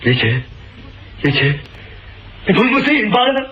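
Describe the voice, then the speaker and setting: A man's voice: very high voice, very animated, moderate pace, a little hiss or hum. A man with a Hong Kong Cantonese accent playing a guilt-ridden, defiant prisoner in a melodramatic radio drama. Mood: frantic, anguished. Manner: anguished, melodramatic. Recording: lo-fi radio sound under loud music.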